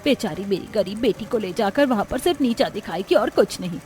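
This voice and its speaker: A woman voicing a caring, protective middle-aged mother in a cartoon family story, with a soft manner. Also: mid-range voice, animated, fairly quick, a little hiss or hum, lightly acted. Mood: protective, resentful.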